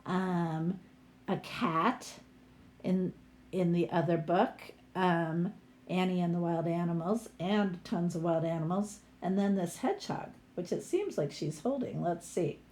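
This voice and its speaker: Slow-paced woman reading a storybook aloud. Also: fairly low pitch, a little mellow. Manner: unhurried, explanatory. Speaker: teacher reading aloud to children. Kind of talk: storybook read-aloud with casual asides to young listeners.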